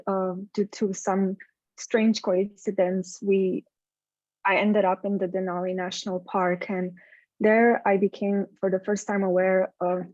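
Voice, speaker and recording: Woman, flat even voice, hesitant and measured, dry dull lo-fi audio. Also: medium pitch, no echo. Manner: hesitant, measured, searching for words. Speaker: workshop participant. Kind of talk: casual sharing in a group call.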